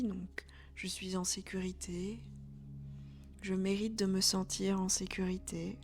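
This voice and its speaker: A woman leads a guided meditation in a soft, soothing voice. Her pitch stays very flat and her pace is very slow, with some phrases breathed almost as a whisper. Faint music plays behind her. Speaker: yoga nidra instructor. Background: background music.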